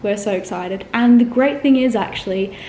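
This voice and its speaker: A woman's voice: mid-range voice, normal speed, mellow. A young Australian woman vlogging casually to camera, rambling with frequent ums and self-corrections. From Australia, Melbourne. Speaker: travel vlogger. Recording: echoey room with faint ambient noise.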